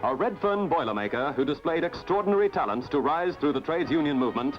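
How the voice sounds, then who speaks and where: A man's voice: a little high, animated, fast, dull audio. A man reading scripted newsreel narration in a formal, declamatory way over music. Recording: band-limited old newsreel soundtrack.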